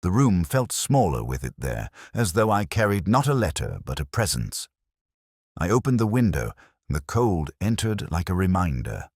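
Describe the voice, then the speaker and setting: A man narrating a first-person detective story in a measured, solemn, literary manner. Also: low voice, very animated, darkish tone, no echo, clean studio sound.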